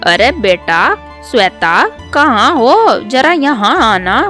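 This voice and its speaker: A woman's voice: fairly high pitch, sing-song, slow, pushed and bright, soft background music, exaggerated voice. A woman voicing a middle-aged mother-in-law, motherly, bossy and easily riled, in a storybook tale. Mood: fond, bossy.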